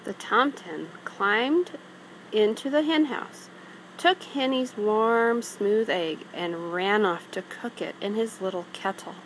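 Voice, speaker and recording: A woman's voice: mid-range voice, slow, a little hiss or hum, rough recording. A woman reading a children's story aloud in a narrating style, as a storybook reader.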